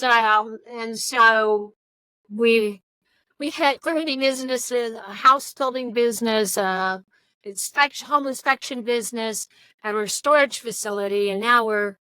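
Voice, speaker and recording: Woman, sixties, slightly high voice, bright, plainspoken, hesitant. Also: measured pace, clean tone, dry recording, poor audio. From Virginia. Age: 60s. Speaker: guest, business owner.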